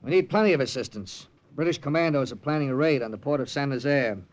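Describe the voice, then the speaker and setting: An actor playing a tough, decisive American secret agent captain who narrates in a clipped, hardboiled manner, with an American accent, in 1940s radio drama style. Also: male voice, medium pitch, quick pace, natural voice. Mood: brisk, decisive.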